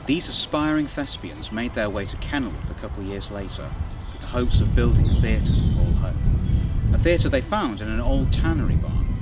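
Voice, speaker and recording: A man's voice: mid-range voice, rough recording. A man narrating a documentary from a script.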